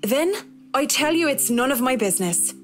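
A polite young working woman in a visual novel. Her voice sits a little high, and she speaks with reserved, measured courtesy. Soft music is faintly audible. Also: animated. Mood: frank.

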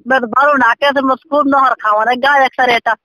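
A woman's voice: high-pitched, steady tone, fairly quick, bright, forceful tone, dry recording. A woman with a Mewati accent chatting casually on a recorded phone call. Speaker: amateur folk singer on a phone call.

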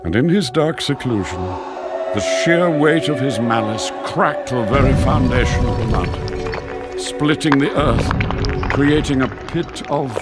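Man playing slow, theatrical ancient dragon sage. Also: medium pitch, lively intonation, natural voice. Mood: ominous storytelling. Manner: measured, theatrical, grave, with drawn-out pauses. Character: ancient, wise, mysterious dragon keeper of prophetic books. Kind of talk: video game cutscene acting, solemn storytelling. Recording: loud music behind the voice. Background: loud cinematic game music.

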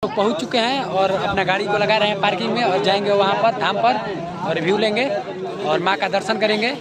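A young man filming a travel vlog on his phone at arm's length, talking excitedly as he crosses a river and walks to a waterfall. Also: high-pitched, fairly quick, a loud crowd. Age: young adult. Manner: excited, calling out and cheering. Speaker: travel vlogger. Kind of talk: casual travel vlog narration. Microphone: phone selfie at arm's length. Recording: noisy outdoor phone recording.

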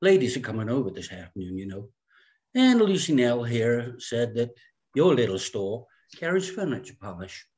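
A man acts out a fussy society woman of fifty in a table read. The delivery is genteel and condescending, with drawn-out phrases and false sweetness. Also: medium pitch, sing-song, a little mellow, close to an everyday voice. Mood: smugly superior. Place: indoor room at home, on a video call.

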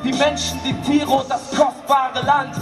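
A man's voice: extremely high pitch, flat delivery, a little slow, loud music behind the voice. A man rapping political hip hop in an urgent, declamatory way. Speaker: rapper. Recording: distant voice over hip hop beat.

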